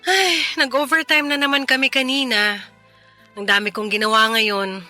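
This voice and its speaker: A woman's voice: sits high, fairly even intonation, moderate pace, bright, forceful tone, soft background music. A woman playing a tired, impatient but caring single mother, weary and scolding in a radio drama. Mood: weary, affectionate. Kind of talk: Tagalog radio drama acting.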